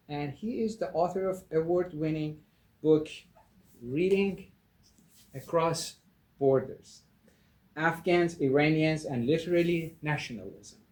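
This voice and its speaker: A man from Iran hosting an interview show, introducing his guest slowly and laughing easily. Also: fairly high pitch, darkish tone, echoey room. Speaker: podcast host. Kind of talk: interview chat.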